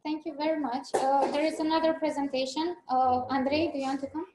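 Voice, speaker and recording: A woman's voice: sits high, fairly even intonation, a little fast. A young woman with a Romanian accent hosting a student club meeting, speaking hesitantly with many fillers. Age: young adult. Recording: echoey room, faint noise.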